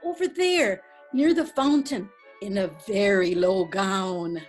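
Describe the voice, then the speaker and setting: A woman playing a modest, elderly widow, mild and gently reproachful, in a readers theatre play. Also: mid-range voice, slow, soft background music, close to an everyday voice.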